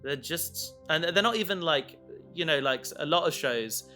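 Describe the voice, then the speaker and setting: A man chatting casually as a podcast co-host, halting and often interrupting himself as he rambles. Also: fairly high pitch, lively intonation, pushed and bright, faint music behind. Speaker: podcast co-host and audio drama creator.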